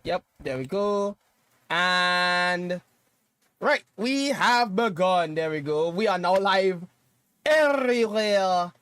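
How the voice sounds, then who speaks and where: Young man, from Trinidad and Tobago, high voice, sniffly, casual streamer, hums while he works. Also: takes it slowly, a bit bright, clear, resonant tone, no room sound. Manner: chatty, loose, sings and hums to himself while setting up. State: sniffly from dust. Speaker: livestreamer. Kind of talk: casual livestream chat.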